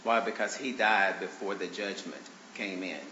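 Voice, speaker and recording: A man arguing his own motions before a judge in a courtroom, speaking haltingly with many fillers and restarts, his voice congested from allergies. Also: deep voice, fairly even intonation, bright, forceful tone. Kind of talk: courtroom argument. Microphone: courtroom microphone on a stand. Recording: roomy, dull courtroom recording with faint hiss.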